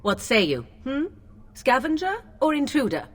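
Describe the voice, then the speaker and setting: A woman's voice: sits high, sing-song, normal speed. An actress playing a haughty, mocking young witch in a fantasy video game, with arch, archaic phrasing. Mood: taunting, curious. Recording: music under the voice.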